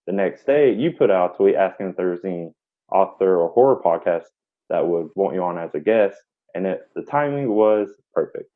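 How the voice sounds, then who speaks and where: A man hosts a casual podcast interview, rambling through his questions with restarts. His voice sits on the lower side. The online call audio is tinny, like a phone line. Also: lively intonation, moderate pace. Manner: rambling, many fillers and restarts. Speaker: podcast host.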